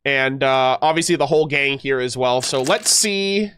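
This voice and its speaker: A man's voice: medium pitch, normal speed, fairly bright tone, a little hiss or hum. A man streaming a game, chatting casually with viewers, slightly nasal and stuffed up, with many fillers and restarts.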